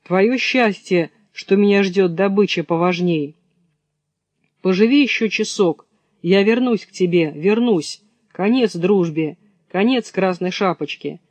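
A man voicing a menacing, boastful old wolf in a gruff, self-satisfied manner. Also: sits high, measured pace, no echo, dull audio. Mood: threatening.

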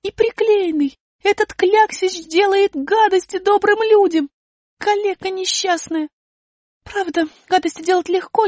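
A woman voicing a feisty, indignant young girl who quickly turns angry, in a very high put-on voice. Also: darkish tone, no echo, studio quality. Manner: indignant, demanding.

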